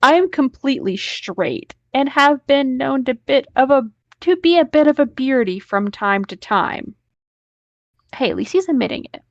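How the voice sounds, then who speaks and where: A woman's voice: high voice, animated, normal speed, no room sound, muffled recording. A woman host reading an online story aloud, breaking off now and then into casual asides.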